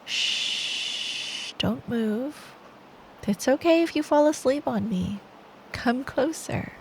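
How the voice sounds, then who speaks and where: Woman, ASMR bedtime storyteller, unhurried delivery, soothing, playful, affectionate girlfriend role, soft rain noise behind. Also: high-pitched, animated, vocal fry, poor audio. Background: rain ambience.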